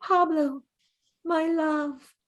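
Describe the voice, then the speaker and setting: Give the part of a dead, lovelorn woman, played by an actress in a high voice. She is gentle and wistful, looking back on her great love in a halting audio-play monologue that moves between hushed and intense. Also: steady tone. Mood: yearning. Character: dead gentle lovelorn woman, artist's former lover, remembering.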